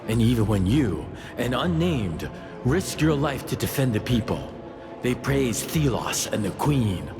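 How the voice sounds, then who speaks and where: A man's voice: medium pitch, big pitch swings, measured pace, vocal fry, music in the background. A man playing a grave, bitter spirit companion in a fantasy video game, solemn and scornful. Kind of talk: video game cutscene acting.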